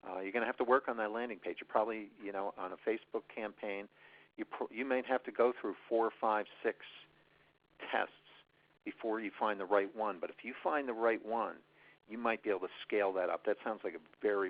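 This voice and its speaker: Low gravelly guy on a tinny phone line, rambling through marketing advice with lots of ums and restarts. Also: fairly even intonation, a little fast. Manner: rambling, many restarts and fillers. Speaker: guest presenter, marketing expert. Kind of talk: unscripted webinar Q&A advice.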